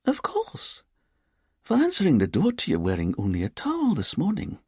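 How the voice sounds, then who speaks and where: A man puts on a playful, self-assured woman in her forties. Her Edinburgh accent lilts, pitched up and husky, in a storybook drama. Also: wildly swooping pitch, moderate pace, dark tone, no echo, clean studio sound, full character voice. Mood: apologetic, playful. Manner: lilting.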